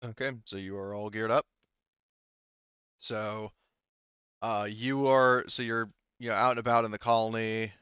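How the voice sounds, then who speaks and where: A man's voice: fairly low pitch, steady tone, unhurried, long pauses, bright, forceful tone, clear, resonant tone, no room sound, dull audio. A man acting as game master in a tabletop roleplaying game, improvising descriptions and casual questions in a hesitant, conversational way.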